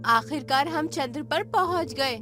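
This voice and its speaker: A woman's voice: sits high, unhurried, music in the background. A woman playing a bold, determined woman scientist in a dramatized space adventure story. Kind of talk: dramatized Hindi audio story dialogue.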